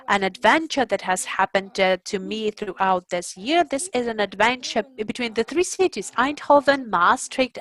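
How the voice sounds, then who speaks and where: A woman from Ukraine, an artist and designer giving a prepared talk to an audience. She speaks earnestly and pauses to search for words. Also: mid-range voice, fairly bright tone.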